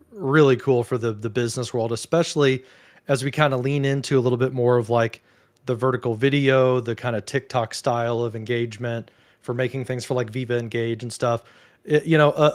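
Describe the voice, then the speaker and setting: Chatty male host, casual and relaxed. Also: medium pitch. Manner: relaxed, chatty, peppered with "like" and "you know". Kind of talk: casual chat on a video call.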